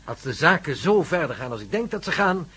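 An actor playing an earnest, absorbed professor in a radio drama, formal and measured, with a husky voice. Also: male voice, a little high, very animated, pushed and bright, exaggerated voice. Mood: pensive, careful. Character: earnest male professor, confident, absorbed scientist.

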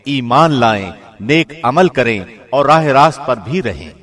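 Man reading scripture translation, measured and formal. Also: mid-range voice, expressive, fairly bright tone. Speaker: professional radio presenter reading scripture translation.

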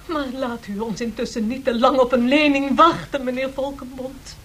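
A woman's voice: high-pitched, steady tone, moderate pace, fairly bright tone, slight background noise. An actress playing a needy but sarcastic lady who stands for a poor country, theatrical and pointed, in a satirical radio drama. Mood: pleading, sarcastic.

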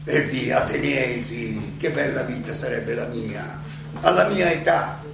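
An actor playing an aging Athenian philosopher who defends himself in a theatrical monologue, ironic and full of rhetorical questions and halting repetitions. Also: male voice, mid-range voice, gravelly, music in the background. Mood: indignant, ironic. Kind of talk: theatrical stage monologue, oratory. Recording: roomy, distant, noisy live stage recording.

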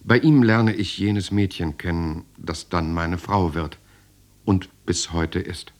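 An actor playing a German writer who recounts his years in the resistance in a measured, reflective way. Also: deep voice. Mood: sober, reflective. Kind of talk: first-person radio drama narration.

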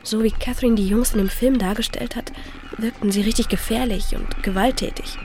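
A woman's voice: fairly high pitch, steady tone, a little fast, natural voice. An actress playing a frank, slightly wary teenage schoolgirl, speaking matter-of-factly in a radio drama. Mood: reflective. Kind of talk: German radio drama dialogue.

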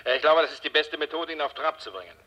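A man's voice: on the high side, bright, forceful tone. An actor playing a pushy, self-satisfied male film boss in a 1960s German radio drama, heard through a telephone filter. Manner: brisk, bossy. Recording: dull, noisy telephone-line sound.

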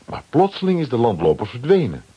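A man with a husky voice narrating a children's adventure radio play in dramatic, scripted storytelling style. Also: medium pitch, huge pitch swings, fairly quick, dull audio.

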